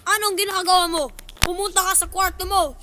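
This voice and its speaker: A woman's voice: very high-pitched, fairly even intonation, brisk, background noise. A woman playing a stern, defensive father, a corrupt mayor, in an amateur radio drama. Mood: angry, bitter. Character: stern, grief-driven corrupt mayor, middle-aged father.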